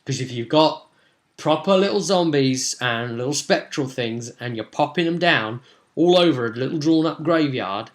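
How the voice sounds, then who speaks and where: A man's voice: fairly high pitch, moderate pace. Young man rambling through a story. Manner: animated retelling, acting out bits of dialogue. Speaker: vlogger recapping his tabletop role-playing session. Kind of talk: casual rambling storytelling to camera. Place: small home room, indoors.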